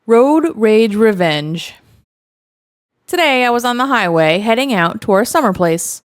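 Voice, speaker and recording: A woman's voice: medium pitch, no echo, studio quality. A woman narrating first-person stories in a wry, matter-of-fact way. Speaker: narrator reading online stories.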